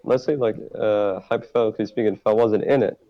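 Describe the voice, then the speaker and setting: A man answering as a guest on a roleplay talk show, speaking haltingly and searching for words. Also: on the low side, steady tone. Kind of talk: in-character roleplay interview. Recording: muffled lo-fi mic.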